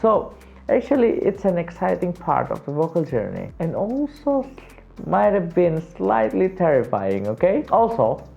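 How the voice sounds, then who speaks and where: A male vocal coach with a raspy voice, teaching casually and jumping to a high, excited pitch when he stresses a point. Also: unhurried, muffled recording, faint music behind. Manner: animated, jumps to high excited pitch on emphasis, laughs often.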